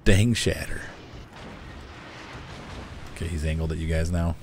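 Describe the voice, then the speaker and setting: A middle-aged man chatting casually on a game live stream, easygoing and quick to laugh, reading out viewer messages as he plays. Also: rumbling low, fairly even intonation, a little hiss or hum. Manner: chatty, easygoing, laughs often, reads chat aloud.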